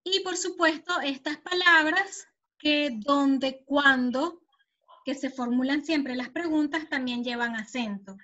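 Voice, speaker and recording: A woman's voice: high voice, slow pace, sharp tone. A woman with a Venezuelan accent teaching an online Spanish lesson, explaining patiently and checking that the students follow. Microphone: computer mic on a video call. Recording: muffled video call audio.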